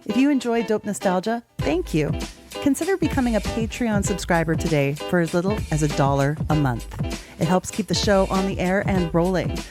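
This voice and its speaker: A woman hosting a podcast, her voice sitting low for a woman. She reads a promo and asks questions in a friendly, easygoing way. Music runs clearly behind her. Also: animated, fairly quick. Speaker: podcast host.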